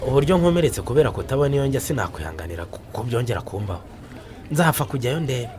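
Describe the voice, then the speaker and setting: Man acting as an animated worried husband. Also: medium pitch, very fast, background noise, lightly acted. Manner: animated, exclamatory. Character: injured husband with failing eyesight, worried but stubborn.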